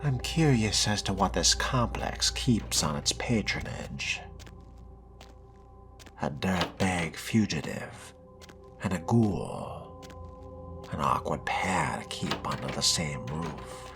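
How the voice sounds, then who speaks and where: A man playing a suave, wry vampire fledgling in a video game roleplay, speaking in a measured, genteel way with dry amusement. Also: deep voice, very animated, vocal fry, full character voice. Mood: smooth, wry. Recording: music behind the voice.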